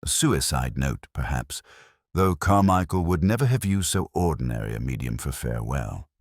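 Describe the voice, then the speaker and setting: A man reading a scripted first-person detective story in a measured, literary, reflective manner. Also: extremely low pitch, big pitch swings, dry recording, studio quality. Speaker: narrator.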